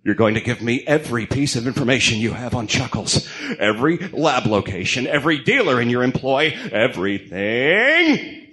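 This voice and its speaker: A stern masked vigilante, acted out by a man in comic-book radio drama style. He speaks with grim deliberation and quiet menace. Every phrase is delivered like an accusation. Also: mid-range voice, sing-song, normal speed. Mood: accusing, resolute.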